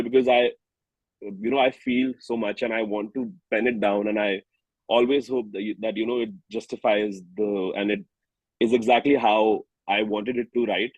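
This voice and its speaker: Low-voiced Indian man, slightly husky, halting. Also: darkish tone, no echo, highs cut off. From New Delhi, India. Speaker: singer-songwriter being interviewed. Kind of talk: reflective conversational interview.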